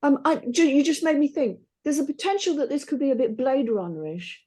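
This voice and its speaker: A woman's voice: sits high, animated, a little fast, a little mellow. A woman chairing an informal panel discussion and sharing her own thoughts.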